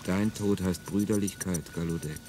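A man's voice: low-pitched, normal speed, mellow, slight background noise, lightly acted. A man playing a brooding bitter revolutionary. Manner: brooding, rising to bitter outbursts. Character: embittered male revolutionary, world-weary and bitter. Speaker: radio play actor. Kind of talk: classic radio drama monologue.

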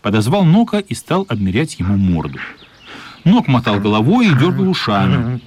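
An actor narrating a children's radio play as an expressive, literary storyteller. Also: male voice, medium pitch, normal speed, a little hiss or hum. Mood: storytelling.

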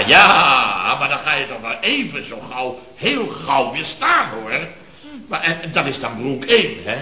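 An actor in a radio comedy playing an exasperated chef, blustering and indignantly repeating himself as he tells of disasters. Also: a little high. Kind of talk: radio comedy acting before an audience. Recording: echoey dull old radio recording, audience noise.

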